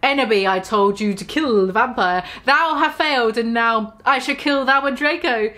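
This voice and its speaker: A woman voicing an angry male dark-wizard villain, declaiming threats in a pompous, mock-archaic manner. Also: on the high side, moderate pace. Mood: angry, threatening.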